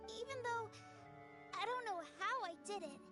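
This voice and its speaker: A woman voicing an earnest, wistful young girl full of wonder in a video game, speaking in a gently reflective way. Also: very high voice, moderate pace. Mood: wistful, happy. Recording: game music behind the voice.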